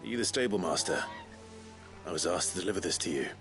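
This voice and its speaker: An actor playing a terse, determined young soldier in a video game, with a low gravelly voice. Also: male voice, animated, quick pace. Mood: guarded. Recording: loud game music behind voice.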